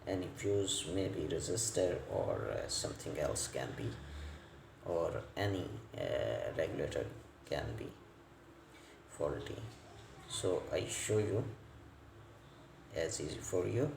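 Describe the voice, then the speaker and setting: Halting, low-pitched man in a roomy space. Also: sing-song, vocal fry, darkish tone. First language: not English. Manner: halting, piecemeal phrasing, repeats words. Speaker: electronics repair technician giving a tutorial. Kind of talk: unscripted hands-on repair demonstration. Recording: roomy echo, faint background noise.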